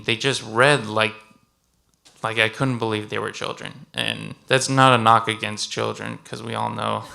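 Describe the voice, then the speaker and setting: A man's voice: medium pitch, vocal fry, bright, forceful tone. A man speaking informally as a contest judge at a live event, picking his words in a hesitant, thoughtful way.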